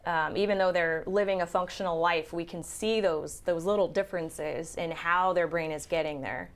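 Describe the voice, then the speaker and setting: A woman who studies the brain, calmly explaining her research to an interviewer. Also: on the low side, normal speed. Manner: calm, patient, explanatory.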